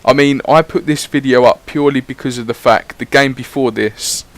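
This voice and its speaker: Rambling lad on gameplay commentary. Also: male voice, medium pitch, fairly even intonation, moderate pace. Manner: rambling, chatty, swears casually. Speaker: gaming YouTuber. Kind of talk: casual voice-over gameplay commentary.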